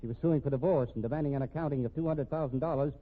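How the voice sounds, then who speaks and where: Man acting a detective captain, mid-pitched, animated inflection, dry folksy probing, 1950s radio drama, tinny band-limited sound. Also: rapid-fire, natural voice. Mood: coolly accusing. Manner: folksy, hesitant probing with casual uh and hmm. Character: wry, dogged male police captain questioning a suspect.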